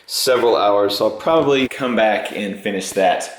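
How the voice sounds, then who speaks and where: A young adult man with a slightly hoarse voice, chatting casually as a veterinarian vlogger in an easygoing, rambling way. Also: lowish voice, normal speed, echoey room, low-quality recording. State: a little hoarse. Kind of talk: casual vlog chat.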